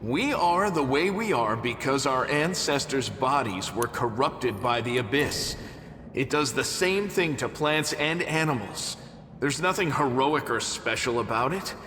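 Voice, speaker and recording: A man's voice: medium pitch, lively intonation, measured pace, a bit bright, a little hiss or hum. An actor playing an earnest, humble young mongrel man in a video game, speaking emphatically in an echoey hall over music. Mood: reproachful.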